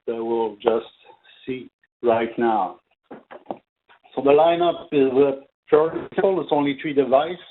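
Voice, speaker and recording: A man giving an unscripted technical product presentation, speaking haltingly with very frequent filled pauses. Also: medium pitch. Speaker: product trainer presenting for a company. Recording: narrow, tinny phone-like line.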